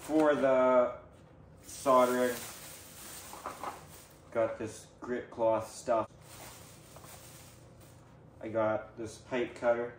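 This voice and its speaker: A man's voice: mid-range voice, little pitch movement, drawn-out words, long pauses. A man giving an informal, unscripted show-and-tell as a video host, rambling and thinking aloud as he goes. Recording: echoey room, faint background noise.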